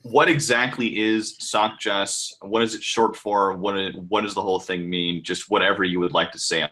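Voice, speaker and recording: A man's voice: on the low side, steady tone, normal speed, sharp tone, low-quality recording. A man hosting a casual interview, asking questions in a hesitant, rambling way with frequent restarts. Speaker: interviewer, host.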